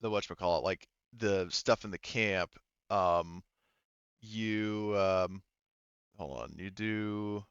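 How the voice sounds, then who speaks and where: Male game master, casual and halting, smooth tone, close dry sound. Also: on the low side, a bit bright, no echo, highs cut off. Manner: halting, informal, sweary. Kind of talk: casual improvised narration of a tabletop roleplaying game.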